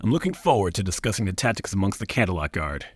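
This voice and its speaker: Man acting a low, formal changeling commander. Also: big pitch swings, fast talker, slightly rough, no echo, faint music behind, exaggerated voice. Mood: businesslike. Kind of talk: audio drama acting.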